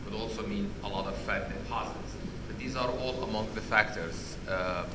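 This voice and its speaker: Guy with a loud voice teaching a medical session, keeps asking the room questions, noisy background. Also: fairly low pitch, normal speed. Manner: questions the audience, many restarts. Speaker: physician lecturer. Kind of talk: interactive medical lecture. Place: conference hall.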